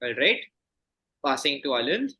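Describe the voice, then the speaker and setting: A man's voice: medium pitch, big pitch swings, normal speed, pushed and bright. A man reading quiz questions aloud as quizmaster, formal and courteous in manner.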